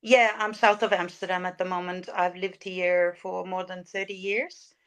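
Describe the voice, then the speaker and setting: A woman's voice: fairly low pitch, flat delivery, normal speed, smooth. An older woman from Denmark, a native Danish speaker, talking casually as an interview guest and thinking aloud hesitantly. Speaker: podcast guest, lifestyle coach.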